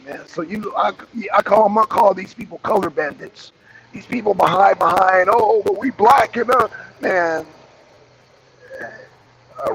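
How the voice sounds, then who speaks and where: Man, raspy, high-pitched, casual live-stream host, rambling and repetitive, faint background noise. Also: fairly bright tone, poor audio. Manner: rambling, repeats phrases, greets viewers by name. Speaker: streamer hosting a wrestling show.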